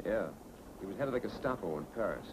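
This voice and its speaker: A man plays a resolute American crime-fighting hero of a 1940s serial. His low voice swings widely in pitch, earnest and firm, on a noisy old film soundtrack. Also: lively intonation, quick pace, lightly acted. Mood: matter-of-fact. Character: resolute heroic American masked avenger, confiding in his twin.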